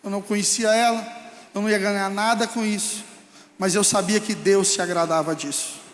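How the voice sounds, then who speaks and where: A male pastor with a Brazilian Portuguese accent preaching a fervent sermon that rises to shouts. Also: high voice, steady tone, fairly quick, slight background noise. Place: church hall. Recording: echoey hall with long reverb.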